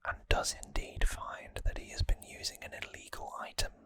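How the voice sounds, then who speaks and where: ASMR host, fully whispered, unhurried, gentle hesitant delivery, trivia recap, dry close-miked sound. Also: dry recording. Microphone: close microphone, dry sound.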